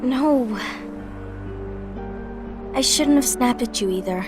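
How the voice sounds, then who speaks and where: Worried young woman, very high pitch,, music underneath. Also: slow. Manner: soft, worried. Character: anxious, protective young woman. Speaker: game character. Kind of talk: video game voice acting.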